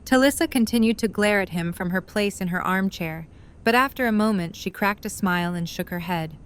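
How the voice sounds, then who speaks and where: A woman's voice: mid-range voice, a little fast. A woman reading scripted third-person narration for an audio drama. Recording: faint background ambient noise.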